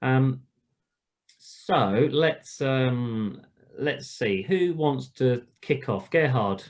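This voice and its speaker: A man's voice: medium pitch, expressive, drawn-out words. A man leading an informal online lesson as an instructor, speaking in a reassuring, casual manner. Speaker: flight instructor leading a ground school. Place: online video call.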